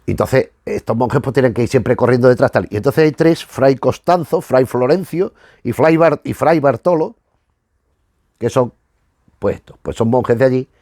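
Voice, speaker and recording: A man from talks at a middle pitch in a very dry recording. He hosts in an informal, rambling way, wandering off and circling back as if working from jotted notes. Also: darkish tone, no echo. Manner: digressive, colloquial, trails off with vague tags. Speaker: host of a retro TV review show.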